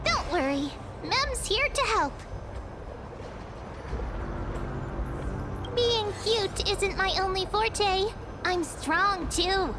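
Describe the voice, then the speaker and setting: A woman's voice: very high-pitched, animated, slow, background noise, heavily acted. A woman voicing a small, cute, plucky companion creature in a video game, with an earnest, childlike manner. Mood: proud, reassuring. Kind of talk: video game character acting. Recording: voice over prominent music.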